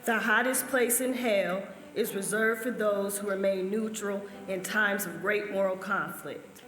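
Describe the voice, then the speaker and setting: A woman reads quotations aloud at a middle pitch. Her voice rings in a reverberant hall with soft music underneath. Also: fairly even intonation, a little slow. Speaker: church member reading.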